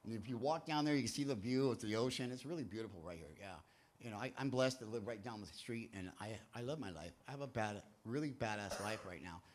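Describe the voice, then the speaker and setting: A man's voice: fairly low pitch, fast. A man hosting a church recovery meeting from a stage. He talks in a loose, rambling, jokey way with sudden bursts of emphasis. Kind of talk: energetic sermon-like talk to a crowd. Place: church hall, speaking from a stage.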